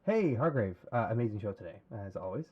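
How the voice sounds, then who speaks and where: A man performs a hopeful young radio actor in an audio drama, eager to please. He talks quickly and hesitantly in a pleading, deferential way. The sound is a dull old studio tape. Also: fairly low pitch, very animated, background noise. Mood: admiring, eager.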